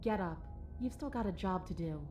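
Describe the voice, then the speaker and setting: A woman's voice: medium pitch, expressive, normal speed, close to an everyday voice. A woman voicing a playful, sly and menacing ghostly princess in a visual novel game, teasing and taunting. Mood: sardonic, taunting. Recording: game audio with music behind. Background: game music.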